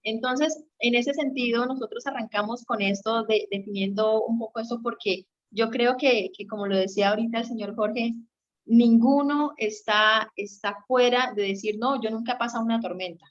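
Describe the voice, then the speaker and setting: A woman's voice: fairly high pitch, moderate pace. A young Colombian woman giving a conversational teaching talk as a guest, explaining things and checking for agreement as she goes. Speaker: guest speaker on a marriage radio segment. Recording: slightly dull, lo-fi sound.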